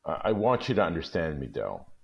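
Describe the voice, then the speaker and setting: Brief: man playing a young husband in a storybook, hesitant, deep gravelly voice, dull lo-fi recording. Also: huge pitch swings, full character voice. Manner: slow, hesitant. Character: young husband, quiet and slow.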